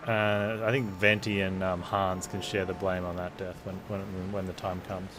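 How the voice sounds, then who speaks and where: Low-voiced man wisecracking over a game. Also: flat delivery, moderate pace, background noise. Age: 20s. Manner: dry, wisecracking. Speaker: game streamer. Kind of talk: casual playthrough commentary.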